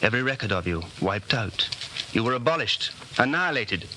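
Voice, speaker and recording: A man's voice: medium pitch, expressive, fairly quick, lightly acted. An actor playing an anxious, secretly rebellious man of about forty in a 1940s radio drama, brooding and urgent. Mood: fatalistic, defiant. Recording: noisy 1940s radio broadcast recording.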